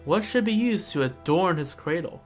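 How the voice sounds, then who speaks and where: A man who speaks English as a second language, streaming a game with casual, halting commentary and lore reading. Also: high voice, animated, soft background music. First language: not English. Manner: halting, casual, reacting aloud.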